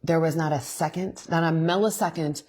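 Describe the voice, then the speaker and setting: A woman, co-hosting a morning talk show and telling animated stories. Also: moderate pace. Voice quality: deep voice. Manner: animated storyteller, restarts sentences. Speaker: co-host. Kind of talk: casual morning show chat.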